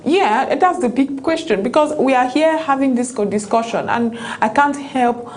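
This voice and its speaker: A woman talking on a panel about pan-politics, animated, with many fillers and restarts. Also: high-pitched, moderate pace, slight background noise. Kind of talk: panel discussion.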